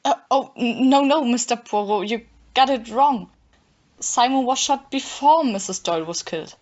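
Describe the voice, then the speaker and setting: A distraught, devoted young woman in a melodramatic audio play, acted by a woman. Her voice is high and emotional. The recording is dull and lo-fi. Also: normal speed, a little mellow, lightly acted. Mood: panicked, pleading.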